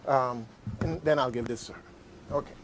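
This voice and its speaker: A man's voice: mid-range voice, normal speed, background noise. A man hosting a radio show with casual, unscripted talk. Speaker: radio host. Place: radio studio.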